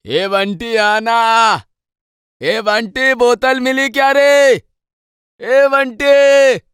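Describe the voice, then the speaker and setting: A man's voice: extremely high pitch, fairly even intonation, very slow, a bit bright, clear, resonant tone, no echo, studio quality, exaggerated voice. A man playing a bossy, mocking gang elder in a horror audio story, talking in colloquial, scoffing taunts. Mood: calling out.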